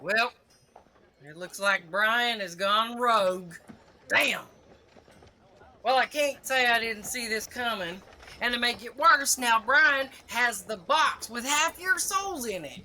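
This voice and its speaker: Exasperated supernatural boss lady, highish and forceful, authoritative scolding, audio drama. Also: animated, takes it slowly, slight background noise, exaggerated voice. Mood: grim, firm. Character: bossy, exasperated female chief of underworld soul trackers. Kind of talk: scripted audio drama acting.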